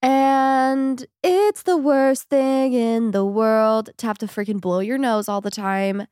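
A woman's voice: on the high side, fairly even intonation, slow pace, darkish tone, clean tone, no echo, studio quality. Nasal young woman with a cold. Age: young adult. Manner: chatty, laughs often. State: stuffy nose from a cold. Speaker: podcast co-host. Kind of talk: casual chat.

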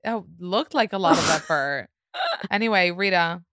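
A woman's voice: on the low side, animated, moderate pace, fairly bright tone, no echo. A woman hosting a podcast, teasing and playful with her guest. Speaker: podcast host. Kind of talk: casual interview chat.

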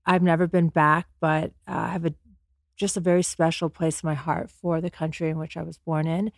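A woman, calm and earnest, telling personal stories as a podcast guest. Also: sits low, fairly quick, no room sound, clean studio sound. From United States, born in Afghanistan. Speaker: podcast guest, company founder. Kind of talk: personal storytelling in an interview. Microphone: close podcast microphone.

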